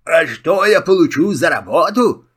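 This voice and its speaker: A man voicing a booming male hippo who drives a hard bargain, in a filmstrip tale. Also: lively intonation, normal speed, fairly bright tone, breathy edge. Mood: gruff. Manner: booming and businesslike.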